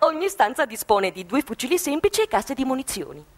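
High, wildly animated zealous saleswoman. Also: fairly quick. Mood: gleeful. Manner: theatrical, gushing sales patter, melodramatic emphasis. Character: gleeful, zealous saleswoman of armored panic rooms. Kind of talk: satirical radio theatre acting.

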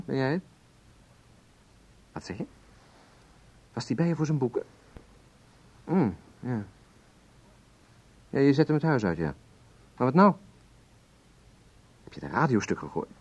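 An actor in a radio drama playing a sympathetic, slightly hesitant male friend in natural conversation, husky-voiced. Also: mid-range voice, dull audio. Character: uneasy but caring male friend taking a phone call.

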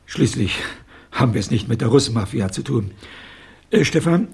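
An actor playing a blunt, confident old former private detective with a husky voice in a radio crime drama. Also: male voice, mid-range voice, animated, moderate pace, a little mellow, full character voice. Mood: confident, suspicious. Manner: blunt, assertive. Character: gruff, confident old male ex-private detective, gang member.

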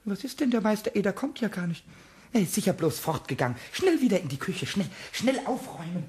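Small mischievous kobold: high, very animated, excitable and cheeky, muttering rhymes to himself. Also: male voice, a little fast. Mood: frantic. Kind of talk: children's radio play acting.

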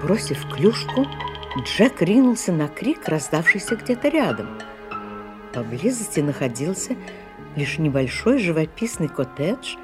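A woman's voice: deep voice, big pitch swings, a little slow, music in the background. A woman playing the wry, lyrical storyteller of a literary radio play. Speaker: narrator.

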